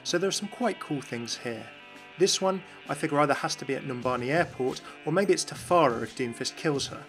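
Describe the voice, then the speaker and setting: A man's voice: fairly high pitch, a little fast, a bit bright, faint music behind. A man hosting a game lore video, talking through voice lines in a chatty, enthusiastic voice-over. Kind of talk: scripted commentary voice-over.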